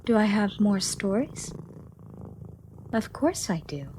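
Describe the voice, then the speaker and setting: Slow, gentle female shadow monster, acted by a woman. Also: medium pitch, sing-song, slight background noise. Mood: caring. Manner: slow, soft, formal, slightly archaic phrasing, soothing. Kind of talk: ASMR roleplay.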